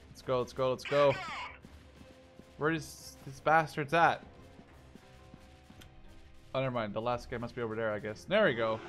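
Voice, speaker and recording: A man in his twenties giving casual let's play commentary, thinking out loud in short phrases as if talking to himself. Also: mid-range voice. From Canada or Netherlands. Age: 20s. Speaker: gaming YouTuber playing and commentating.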